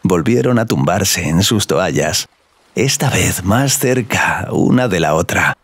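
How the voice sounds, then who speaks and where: A man's voice: low voice, expressive, takes it slowly, a bit bright, slightly rough, no room sound. A man narrating a scripted romantic audio story as a storyteller. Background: music.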